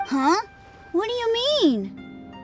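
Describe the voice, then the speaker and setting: A woman's voice: extremely high pitch, very animated, slow pace, faint music behind, heavily acted. An actress voicing an eager, boastful little boy in a video game, childlike, bouncy and self-important. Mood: chatty, earnest. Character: eager, boastful little boy playing martial arts master. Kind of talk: scripted video game voice acting.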